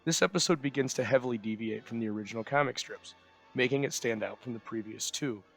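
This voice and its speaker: A man's voice: medium pitch, fast, vocal fry, a bit bright. A man hosting, reading a scripted introduction in the style of a programme presenter. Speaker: host introducing an old radio episode. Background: music.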